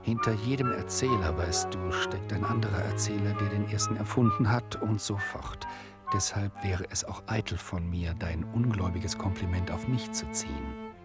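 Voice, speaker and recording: An actor playing an urbane male narrator who addresses the listener with measured irony in a radio play. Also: lowish voice, over loud music, close to an everyday voice. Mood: ironic. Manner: measured, ironic, confiding.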